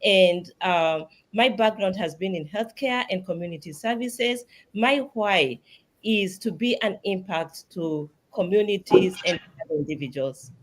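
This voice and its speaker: A woman's voice: medium pitch, measured pace, rough recording. A woman from Kenya introducing herself on a call, earnestly listing her roles in short sentences. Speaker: mentor and business owner.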